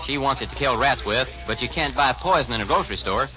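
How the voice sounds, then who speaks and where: A fast-talking, good-hearted cowboy, earnest and folksy with a lively pitch. He is heard on a muffled 1940s radio recording. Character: earnest, good-hearted cowboy, protective of kindly old ladies.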